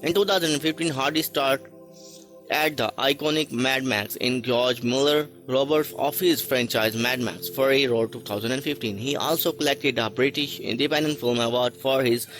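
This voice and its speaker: Man reading a list script haltingly. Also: medium pitch, steady tone, fairly bright tone, soft background music, rough recording. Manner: halting reading with stray articles and restarts. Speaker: narrator. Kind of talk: read-aloud list narration.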